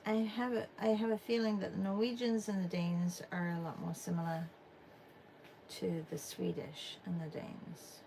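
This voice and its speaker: A woman's voice: on the low side, normal speed, gravelly, slight background noise, poor audio. A middle-aged Englishwoman, chatting casually as she draws on a livestream and reads chat messages aloud. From England. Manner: relaxed and chatty, thinking aloud with fillers. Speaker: art livestream host. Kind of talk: casual chat while drawing, reading chat aloud.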